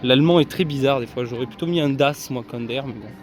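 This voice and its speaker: A man's voice: medium pitch, quick pace, noisy background. A man casually vlogging from a busy theme park, chatting to his viewers with lots of fillers. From France. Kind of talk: casual vlog commentary.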